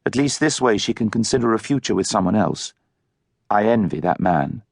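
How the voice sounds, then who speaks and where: A man reads as a young Englishman in an audiobook, earnest and polite. His phrasing is formal and articulate, the pitch on the low side, the recording dry and close. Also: expressive, normal speed, vocal fry, a little mellow, dry recording. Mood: heartfelt, solemn.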